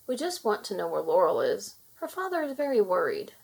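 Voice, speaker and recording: A woman voicing a kind, caring young mermaid princess from a storybook, gentle and expressive. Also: medium pitch, fairly quick, creaky, breathy edge, exaggerated voice. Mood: reassuring.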